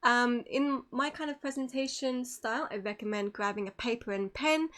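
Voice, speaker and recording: A woman's voice: fairly high pitch, moderate pace, fairly bright tone. A woman giving an informal online presentation as a streamer, polite and apologetic in manner.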